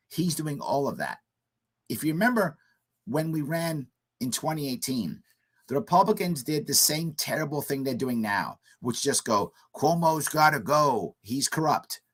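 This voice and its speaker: A hoarse man hosting a call-in radio talk show, giving emphatic political commentary in short punchy statements. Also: a little high, fairly bright tone. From United States.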